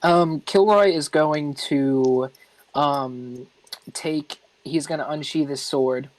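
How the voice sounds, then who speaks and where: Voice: medium pitch, takes it slowly, darkish tone, dry recording, rough recording. A tabletop game player on a phone mic, casually describing a character's actions and searching for words along the way. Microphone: phone with push-to-talk.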